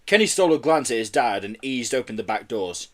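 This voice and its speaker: A man narrating a children's book as an audiobook reader, in an expressive storytelling style. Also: medium pitch, brisk.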